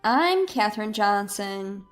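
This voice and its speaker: A woman's voice: medium pitch, animated, slow pace, soft background music. A woman reading a scripted first-person story as its narrator.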